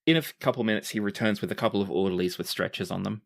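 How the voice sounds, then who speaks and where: Game master for a tabletop roleplaying session, low-pitched voice, narrating the scene. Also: rapid-fire, vocal fry.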